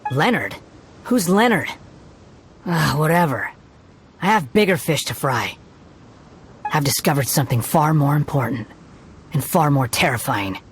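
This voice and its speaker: A woman's voice: low-pitched, animated, a little slow, pauses often, slight background noise. A woman voicing an arrogant, volatile young male villain in a video game, sneering and theatrical, with music behind. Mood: ominous, dismissive. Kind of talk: scripted video game voice acting.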